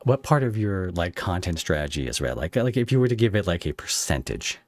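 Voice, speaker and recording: Very deep-voiced man, casual podcast host. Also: sing-song, dry recording, studio quality. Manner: halting, rambling questions. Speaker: podcast host interviewing a creator. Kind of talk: casual interview chat.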